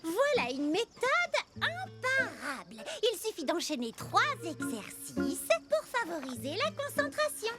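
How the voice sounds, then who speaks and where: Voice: extremely high pitch, huge pitch swings, a little slow, hardly any pauses, over loud music, heavily acted. A performer voicing a bookish little child in a children's cartoon, explaining things earnestly and precisely. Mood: instructive. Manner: didactic, precise. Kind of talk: French children's cartoon acting.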